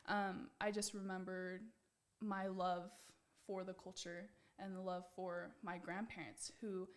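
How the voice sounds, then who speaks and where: A woman's voice: fairly low pitch, level tone, unhurried. Young American woman, earnest and reflective. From United States. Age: young adult. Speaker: pageant titleholder being interviewed. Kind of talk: conversational interview answers.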